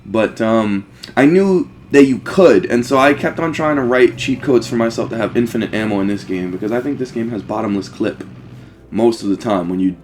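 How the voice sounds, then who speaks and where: A man giving casual, rambling, profanity-laced gaming commentary with excitable fan talk. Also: medium pitch, normal speed, soft background music. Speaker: let's play host.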